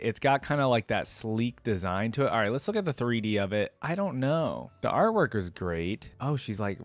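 A young adult man giving chatty, slangy reaction commentary into a close desk microphone. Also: medium pitch. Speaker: reaction video host. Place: indoor streaming setup. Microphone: close desk microphone with headset on.

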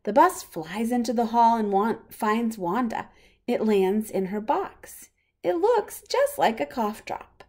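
A woman reading a picture book aloud for young children in a simple, expressive way. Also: on the high side, slow. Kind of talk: children's storybook read-aloud.

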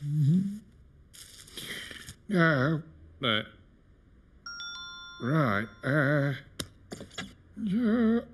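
A man's voice: on the high side, very animated, very slow, long pauses, exaggerated voice. An actor playing a grumbling cartoon man of few words, who mumbles and grunts in terse bits. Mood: muttering. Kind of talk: cartoon voice acting. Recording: music under the voice.

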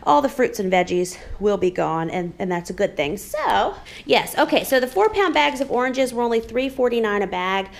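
Chatty woman with a gravelly edge. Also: on the high side, expressive, normal speed. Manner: chatty, rambling with many fillers. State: pregnant. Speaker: vlogger. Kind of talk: casual chatty grocery haul vlog. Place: home dining room, indoors.

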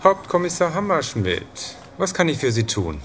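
A man's voice: a little high, big pitch swings, moderate pace, noisy background. An actor playing a resentful male shop clerk under suspicion in an audio drama, indignant and falsely polite. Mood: feigned politeness. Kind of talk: German audio drama acting.

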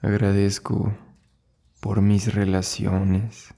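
Man, meditation instructor, dark mellow tone, husky, soothing, short spaced-out phrases. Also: lowish voice, level tone. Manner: soothing, unhurried, short phrases with long pauses. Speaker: yoga and meditation instructor, host. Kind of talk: guided meditation.

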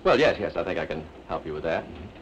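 An actor playing a fussy, bashful older gentleman on a train, who chuckles and stammers as he offers to help a lady. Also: sits low, expressive, fast, dull audio. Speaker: train passenger. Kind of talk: 1950s television drama acting. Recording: hissy 1950s film soundtrack.

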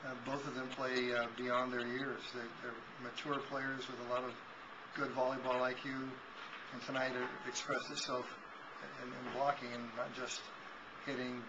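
A man in his sixties, a volleyball head coach giving a measured postgame interview with frequent restarts, recorded on a webcam in a small office. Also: medium pitch, little pitch movement. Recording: dull lo-fi with noise.

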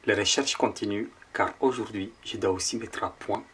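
A man's voice: fairly low pitch, steady tone, normal speed, slight background noise. A man in his forties with a French accent, chatting casually to camera as an artist vlogger and calling his viewers friends. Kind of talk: casual vlog talk to camera. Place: large empty exhibition hall, indoors. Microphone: handheld camera at arm's length. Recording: long hall reverb with music behind.

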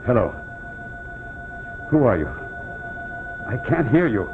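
An actor playing a sullen, worn-down middle-aged husband with terse lines in a 1950s television suspense drama. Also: male voice, mid-range voice, big pitch swings, slow pace. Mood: alarmed, urgent. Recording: tinny old TV soundtrack, loud music.

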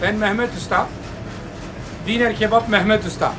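A man who co-owns a grill restaurant talks up his menu with eager, promotional energy. His voice sits high. Traffic and outdoor noise run clearly behind him. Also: fairly even intonation, normal speed. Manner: eager, promotional, lists dishes. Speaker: restaurant co-owner and grill cook. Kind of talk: casual on-camera chat. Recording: noisy outdoor recording.

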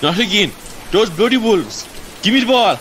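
Man acting high-voiced Irish sports coach, very noisy. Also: lively intonation, normal speed. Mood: exasperated. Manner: clipped, repeated instructions. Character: brisk, bossy hurling coach drilling girls' team. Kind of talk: amateur radio drama acting. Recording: very noisy outdoor-like ambience.

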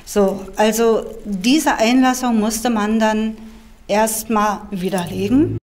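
A woman's voice: a little high, takes it slowly, a little mellow. A woman in her forties, a prosecutor, recounting events in a filmed interview indoors. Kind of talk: spoken interview recollection.

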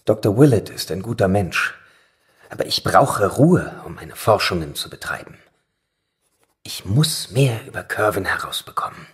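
An actor playing a secretive young scholar in a radio drama, reverently obsessed with his research, with a halting, hushed way of reading aloud. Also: fairly low pitch, lively intonation, natural voice. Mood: proud, brooding. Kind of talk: German radio drama acting. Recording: roomy echo, faint noise, clicks.

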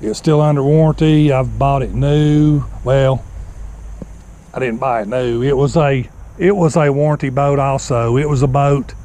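A man, vlogging in plain, unhurried talk with lots of "uh"s, filmed on an action camera in his car and his yard. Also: medium pitch, steady tone. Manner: slow, plainspoken, many fillers. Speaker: fishing kayak vlogger. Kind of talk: casual vlog talk. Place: in a car while driving, later outdoors in a yard. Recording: loud road and outdoor noise. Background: car and outdoor ambience.

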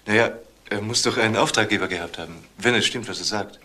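A man's voice: on the low side, fast talker, bright, forceful tone. An actor playing a cautious, probing man in a crime drama. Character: cautious, probing brother of a married woman.

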